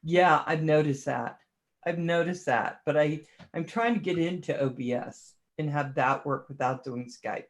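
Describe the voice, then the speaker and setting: A woman chatting casually on a livestream, talkative and quick to tease herself. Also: low voice. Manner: chatty, self-deprecating and teasing.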